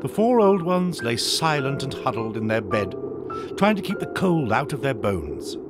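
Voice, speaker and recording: An actor playing the storyteller, giving expressive scripted narration of a children's tale. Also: male voice, medium pitch, normal speed, music in the background, close to an everyday voice. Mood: expressive storytelling.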